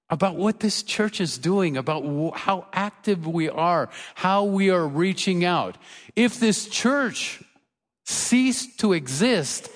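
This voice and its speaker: An older man, a pastor, preaching a sermon in a church with earnest emphasis and softer storytelling asides. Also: high voice. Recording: reverberant church hall.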